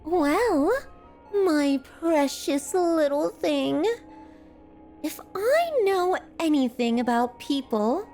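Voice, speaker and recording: Creepy lovestruck yandere lady for asmr roleplay, super high voice, really slow n drawn out, fancy formal wording. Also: dark tone, full character voice. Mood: courteous, menacing. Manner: florid, theatrical, formal diction, lingering over words. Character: obsessive yandere woman, genteel kidnapper artist, menacingly adoring. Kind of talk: scripted ASMR roleplay monologue.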